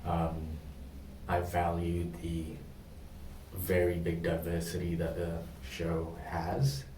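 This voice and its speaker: A young man from Indonesia answering questions in a practice job interview, pausing often with ums. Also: low voice, completely flat delivery, warm tone, echoey room, recorded from a distance, a little hiss or hum, low-quality recording. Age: young adult. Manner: hesitant, many pauses and ums.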